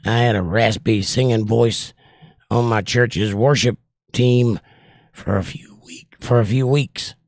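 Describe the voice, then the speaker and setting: A man's voice: mid-range voice, steady tone, slow pace, darkish tone, no room sound, clean studio sound. An older man, casually reading viewer comments aloud on his livestream and answering them. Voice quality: voice worn from years of trouble. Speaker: livestream host reading chat.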